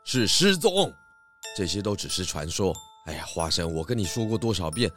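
A man's voice: low-pitched, sing-song, normal speed, a bit bright, faint music behind. A man playing an exasperated but sensible pig detective in a children's audio drama, scolding and exclaiming.

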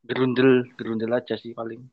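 A man's voice: medium pitch, level tone, fairly quick. Young man, dull audio. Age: young adult. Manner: easygoing, short replies, teasing. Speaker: podcast co-host. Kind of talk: casual podcast chat.

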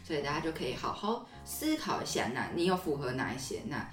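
A woman's voice: deep voice, very animated, fast talker, far from the mic. A young woman with a Taiwanese Mandarin accent, a podcast host telling stories in a casual, explanatory way. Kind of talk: casual conversational storytelling. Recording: roomy echo, off-mic, music underneath.

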